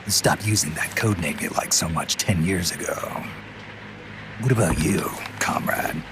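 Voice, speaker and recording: Gruff, gravelly, low-voiced mercenary legend. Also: male voice, normal speed, music in the background. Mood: guarded, defiant. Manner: terse, guarded, world-weary. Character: gruff, world-weary legendary mercenary commander. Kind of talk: video game cutscene voice acting.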